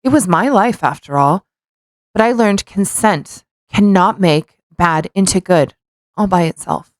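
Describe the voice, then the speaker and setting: A woman's voice: mid-range voice, a little slow, no room sound. A woman co-hosting a casual podcast. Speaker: podcast co-host.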